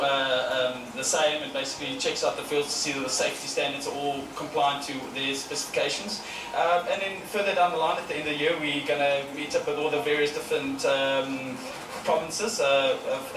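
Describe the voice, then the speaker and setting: A man, a paintball player answering interview questions with many fillers. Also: a little high. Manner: even, hesitant with fillers. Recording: echoey, far from the mic, with noise.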